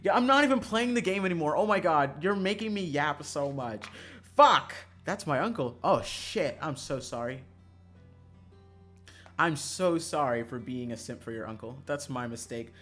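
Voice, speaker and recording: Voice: medium pitch, expressive, moderate pace, fairly bright tone, faint music behind. A streamer chatting casually on a livestream in a joking, self-mocking, dramatic way, swearing freely.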